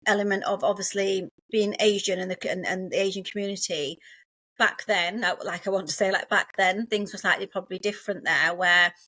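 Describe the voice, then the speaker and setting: A woman's voice: mid-range voice, steady tone, a little fast, bright, forceful tone, no room sound. Woman host, asks long looping questions, keeps saying mm-hmm, dry close sound. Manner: rambling questions with many restarts, frequent "mm-hmm". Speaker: podcast host. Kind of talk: interviewer conversation.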